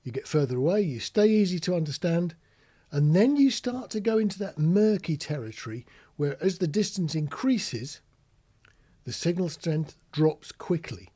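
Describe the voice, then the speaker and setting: A man's voice: a little high, big pitch swings, moderate pace, warm tone, husky. A British man giving an informal, practical tutorial, explaining things plainly with anecdotes as an instructor. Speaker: marine radio instructor.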